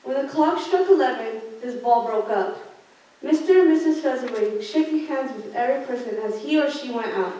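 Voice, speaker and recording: A woman's voice: sits high, expressive, normal speed, mellow. A young woman in her teens reading scripted storybook narration for a student radio play. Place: large school theater classroom, indoors. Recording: echoey room, distant mic, background noise.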